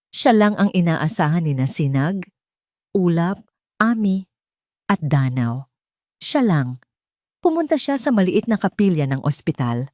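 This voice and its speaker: A woman's voice: on the low side, darkish tone, dry recording, clean studio sound. A woman narrating a scripted dramatic story in a solemn, emotive manner.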